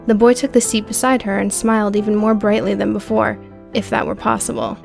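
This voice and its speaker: A woman's voice: medium pitch, little pitch movement, fairly quick, vocal fry, a little mellow, soft background music. A woman narrating an audiobook story in a scripted, dramatic storytelling style.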